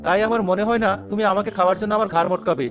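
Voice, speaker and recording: Man voicing a high-pitched, quick-witted fisherman. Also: fairly even intonation, soft background music, close to an everyday voice. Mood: persuading. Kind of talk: animated folk tale dialogue.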